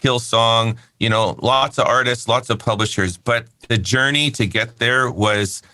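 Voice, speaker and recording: Candid, bright-voiced man in his fifties. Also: medium pitch, unhurried, no echo, clean studio sound. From Canada. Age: 50s. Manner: candid, rambling, laughs easily. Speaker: guest, company founder. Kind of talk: casual interview chat.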